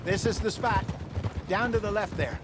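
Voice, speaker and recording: An old American outlaw in a game, played by a male actor, with a high-set voice. He sounds knowing and wry, gently mocking as he goes. Boat and water noise is clearly behind him. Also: moderate pace, close to an everyday voice. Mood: knowing, easygoing. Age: older. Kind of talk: video game cutscene acting.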